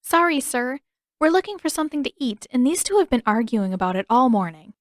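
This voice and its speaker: A woman's voice: sits high, sing-song, fairly quick, no room sound, studio quality, pitched above the performer's own voice. A woman voicing a stubborn, sly teenage girl in an audiobook story, emphatic and exclaiming. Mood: forced politeness. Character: indignant teenage girl, stubborn, slyly cheerful schemer.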